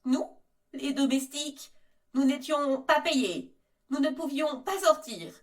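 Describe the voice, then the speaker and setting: An earnest young former servant played by a woman testifies formally and deliberately. Her high voice sits a little away from the mic. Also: animated. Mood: grave, recounting. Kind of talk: scripted testimony in mock interview. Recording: voice distant from microphone.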